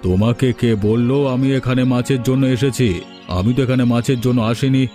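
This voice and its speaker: A man's voice: mid-range voice, fairly even intonation, fairly quick, warm tone, lightly acted. Cartoon villager role, male actor: greedy rich schemer, insistent and blustering, slightly muted delivery over faint music. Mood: pressuring. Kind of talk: Bengali cartoon story voice acting.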